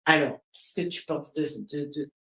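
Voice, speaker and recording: A woman's voice: sits low, little pitch movement, moderate pace, a bit bright. A woman hosting a casual live interview, answering with short agreeing echoes and reading out viewer comments. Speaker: live-stream host.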